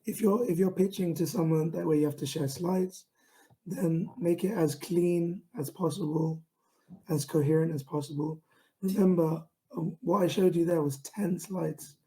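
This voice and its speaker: A man with a London accent giving an online talk to camera, speaking in a steady, self-described monotone with frequent fillers and restarts. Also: fairly high pitch, moderate pace, rough recording. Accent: London English. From England. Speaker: founder giving a pitching talk.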